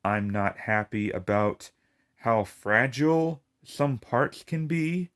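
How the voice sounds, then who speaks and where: A man's voice: mid-range voice, animated, takes it slowly, fairly bright tone. A man with a lisp ranting casually and in frustration to his video audience, rambling and swearing as he talks. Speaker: gaming video creator.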